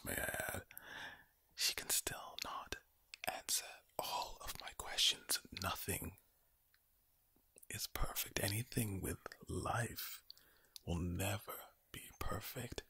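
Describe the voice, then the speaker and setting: A man whispers very close to the mic in a low, heavily breathy voice. He gives an ASMR pep talk, leaning on repetition and rhetorical questions. The creaky undertone gives him an ominous, villain-like sound. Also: lively intonation, sharp tone, dry recording. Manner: slow deliberate phrasing with repetition and rhetorical questions. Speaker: ASMR video creator. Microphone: close microphone.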